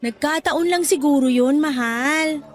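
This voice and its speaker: A woman acting as an affectionate, encouraging young girlfriend in a radio drama, speaking in a coaxing, animated way. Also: high voice, measured pace, soft background music. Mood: reassuring. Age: young adult. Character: supportive, upbeat young girlfriend cheering on her partner. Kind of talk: Tagalog radio drama dialogue.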